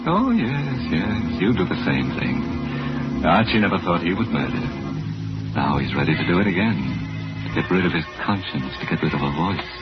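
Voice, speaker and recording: An actor playing a knowing, sardonic narrator in a 1940s radio horror drama, addressing the listener intimately. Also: male voice, low voice, very animated, normal speed. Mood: sardonic, ominous. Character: sardonic knowing male narrator of a murder tale. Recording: dull old radio recording, loud music.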